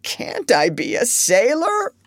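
A woman reading aloud, voicing a gruff, sulky male pirate chief in a storybook, muttering slowly and grudgingly. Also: fairly high pitch, wildly swooping pitch, no echo, clean studio sound, pitched above the performer's own voice, exaggerated voice. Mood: pleading.

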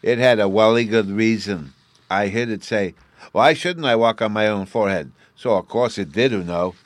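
A man's voice: lowish voice, moderate pace, no room sound, voice pushed up, natural voice. A man voicing an eager, stubborn little fairy boy with a babyish lisp, in an audiobook. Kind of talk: audiobook character voice.

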